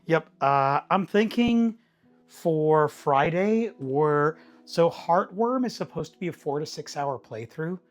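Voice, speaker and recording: A slightly hoarse man chatting casually with viewers while cooking in his home kitchen, rambling in an easygoing way and chuckling often. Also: fairly high pitch, animated, slow, soft background music. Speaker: streamer.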